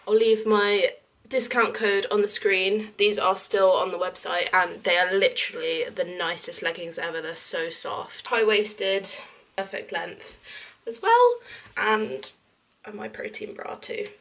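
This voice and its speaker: A woman's voice: medium pitch, unhurried, fairly bright tone, poor audio. A young woman in her twenties chatting casually and self-deprecatingly to the camera in her small bedroom, laughing at herself. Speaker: vlogger. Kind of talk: casual vlog chat. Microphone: camera mic at arm's length.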